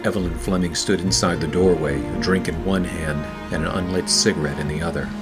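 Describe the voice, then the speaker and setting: A man performs a hardboiled gumshoe from a noir radio play. His low voice is wry and deadpan as he narrates, with music playing clearly underneath. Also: a little fast, natural voice. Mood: dry, brooding. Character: hardboiled, wisecracking 1940s male private detective narrator.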